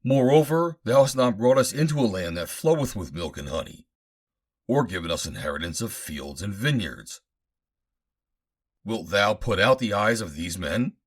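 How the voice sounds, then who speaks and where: A man's voice: lowish voice, animated, moderate pace. A man voicing a defiant, aggrieved rebel in a scripture reading, declamatory and accusing. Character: defiant, aggrieved male rebels challenging their leader.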